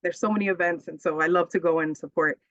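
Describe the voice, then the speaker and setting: A woman's voice: fairly low pitch, steady tone, quick pace, a bit bright, no room sound. A woman, an associate director giving an upbeat, friendly webinar presentation over a video call, with frequent "um"s. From Bronx, New York. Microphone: laptop mic on video call. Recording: dull, dry video call audio.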